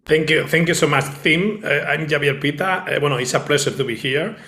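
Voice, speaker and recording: A man from Spain with a strong Spanish accent giving an online presentation, halting and peppered with "okay". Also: on the high side, sharp tone, roomy sound. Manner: halting, fillers like okay and eh, searches for words. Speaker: tech company founder presenting. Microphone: video call.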